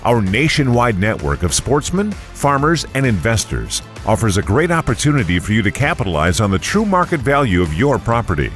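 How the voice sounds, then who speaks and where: Male ad announcer, lively intonation, polished sales read, soft music underneath. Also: medium pitch, a little fast, few pauses. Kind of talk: scripted radio advertisement. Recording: music bed behind.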